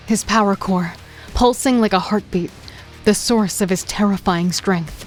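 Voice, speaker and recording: A woman giving steady first-person narration for an audio drama. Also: mid-range voice, a little slow, soft background music. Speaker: narrator and lead voice actor.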